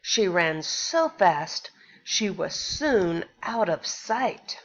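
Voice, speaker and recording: A woman, a pre-K teacher, reading a picture book aloud to young children and pausing to ask them questions. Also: on the low side, big pitch swings, muffled recording. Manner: slow, sing-song storytelling with questions to the children.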